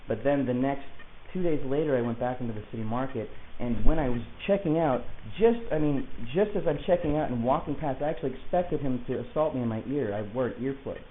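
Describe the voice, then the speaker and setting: A man playing an agitated, rambling client who insists he is being targeted, talking in a halting, digressive, self-justifying way in a naturalistic audio drama. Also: medium pitch, close to an everyday voice. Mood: earnest, uneasy. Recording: hissy, tinny phone-like lo-fi recording.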